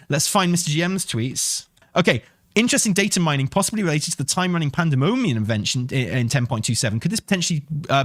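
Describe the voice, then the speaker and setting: A man with a slightly high, very lively voice reacts off the cuff as a gaming news host. He thinks aloud in an excitable, sweary way, his pitch rising and dropping widely. The recording is dry, without room sound. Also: no room sound.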